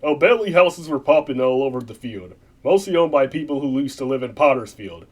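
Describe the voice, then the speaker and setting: A man's voice: medium pitch, lively intonation, a little fast, natural voice. A man playing a folksy, conversational narrator of a puppet holiday tale in a comic radio play. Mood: folksy storytelling.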